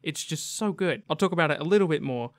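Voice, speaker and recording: A man's voice: fairly high pitch, lively intonation, fast, a bit bright. A young man hosting a gaming video with casual, enthusiastic, slangy commentary. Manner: chatty, slangy, effusive.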